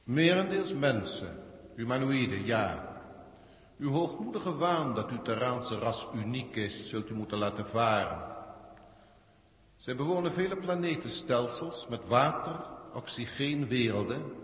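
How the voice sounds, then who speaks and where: A man's voice: medium pitch, lively intonation, measured pace, a bit bright. A lofty galactic overseer from a sixties radio serial. He talks in pompous, archaic, condescending phrases with cold superiority. His mid-range voice rings through a large echoing reverb. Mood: lofty, explanatory. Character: haughty alien proctor, cold galactic official testing Earth. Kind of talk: 1960s science-fiction radio drama acting. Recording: echoey hall-like reverb, 1960s radio.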